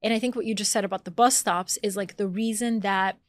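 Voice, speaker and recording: A woman's voice: mid-range voice, steady tone, quick pace, no echo. A woman in her thirties, originally from Bulgaria and raised in the American South, talking casually as a podcast guest, with lots of "like" fillers and easy laughter. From born in Bulgaria, raised in Atlanta, Georgia. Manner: chatty, frequent "like" fillers, laughs easily. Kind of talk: casual conversational interview.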